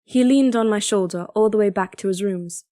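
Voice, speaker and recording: An actress playing a devoted, strong-willed young princess in an audio drama, speaking and narrating in a measured, earnest, solemn way. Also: female voice, medium pitch, mellow, close to an everyday voice. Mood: solemn, reflective. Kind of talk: audio drama acting with first-person narration.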